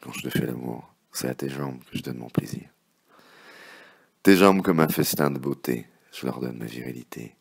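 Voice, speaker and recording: A man with an extremely low, gravelly voice recites love poetry. His delivery is theatrical and impassioned, able to sink into hushed murmurs, and his tone is husky and rough. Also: moderate pace. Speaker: poet reading poems.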